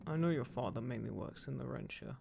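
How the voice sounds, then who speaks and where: A man's voice: mid-range voice, fairly quick, a little mellow, slight background noise. A man playing a guarded ghost pirate captain, protective of his crew, speaking plainly and unhurriedly to one listener in an audio roleplay. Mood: guarded, wry. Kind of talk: immersive roleplay audio drama, spoken intimately to one listener. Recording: clear background ship-creak sound effects. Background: creaking wooden ship ambience.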